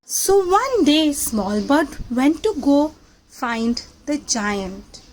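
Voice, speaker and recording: A woman reading a picture book aloud to young children, with simple deliberate phrasing and questions to the listeners. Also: high-pitched, very animated, a little mellow, a little hiss or hum, low-quality recording. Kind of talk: children's read-aloud storytelling.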